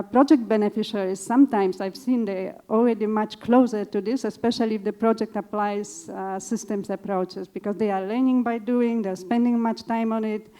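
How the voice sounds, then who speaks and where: Measured woman panelist, mellow voice. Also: medium pitch. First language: Polish. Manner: measured, thoughtful, with frequent uh pauses. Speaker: panelist, evaluation consultant. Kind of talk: panel discussion answer.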